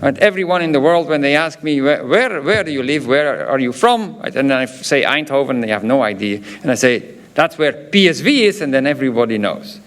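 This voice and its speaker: A man's voice: mid-range voice, lively intonation, a little fast, a bit bright. A man from Belgium with a Flemish accent, a professor giving a graduation ceremony speech, hesitant and full of filler sounds, with chatty asides. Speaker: professor presenting diplomas.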